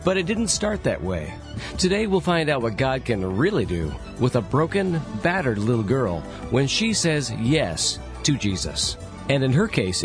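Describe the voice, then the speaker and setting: A male radio host reads a scripted show opening. His delivery swings up and down with animated energy. A clear music bed plays beneath his voice. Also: medium pitch.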